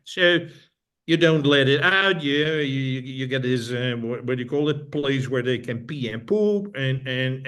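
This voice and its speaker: A man's voice: fairly high pitch, a bit bright. A middle-aged man from the Netherlands with a Dutch accent, speaking as an interview guest in a thoughtful, repetitive way with frequent restarts. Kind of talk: casual interview talk.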